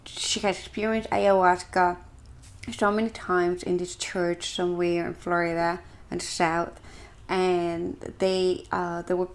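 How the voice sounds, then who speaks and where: Woman, Ecuadorian Spanish accent, medium pitch, earnest explainer, deliberate, emphatic pauses. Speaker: shamanic practitioner and video host. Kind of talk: earnest explanatory talk to camera.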